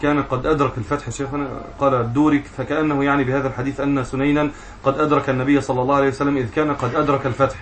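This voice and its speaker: Male student assistant reading hadith notes super fast, bit of room noise. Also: medium pitch, level tone. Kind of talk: rapid scholarly reading and discussion.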